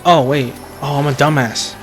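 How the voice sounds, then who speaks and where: A woman's voice: sits low, steady tone, moderate pace. A woman doing casual gameplay commentary, muttering, repeating herself and swearing in a self-deprecating way. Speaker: video game player. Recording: game music behind the voice.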